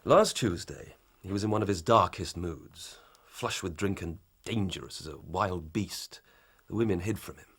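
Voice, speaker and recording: An earnest young inspector, low voice. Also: male voice, normal speed, hardly any pauses, fairly bright tone, breathy edge, heavily acted. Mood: reporting. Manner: earnest, formal, methodical. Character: earnest, ambitious young male police inspector. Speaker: police inspector. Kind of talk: radio drama acting.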